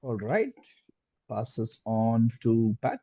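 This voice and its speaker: Male quiz host, mellow husky voice, deliberate question reading, close dry sound with dull lo-fi call audio. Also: mid-range voice, big pitch swings, no room sound. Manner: deliberate, repeats answers and phrases. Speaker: quizmaster.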